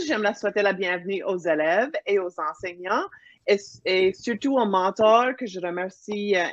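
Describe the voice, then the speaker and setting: Female event host, dry, lo-fi audio, casual warm delivery. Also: mid-range voice, no room sound. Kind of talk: hosting a video call event.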